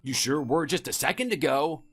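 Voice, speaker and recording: Scornful, domineering middle-aged husband, acted by a man, lively pitch. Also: moderate pace, breathy edge, faint music behind. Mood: scornful, angry. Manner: contemptuous, scolding, sarcastic. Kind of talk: scripted audio drama dialogue.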